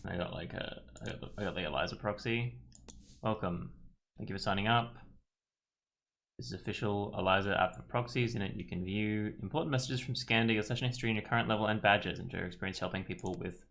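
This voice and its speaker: Quick-talking low-voiced male gamer. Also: gravelly, fairly bright tone. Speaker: gaming streamer. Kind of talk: casual let's-play commentary, reading game text aloud.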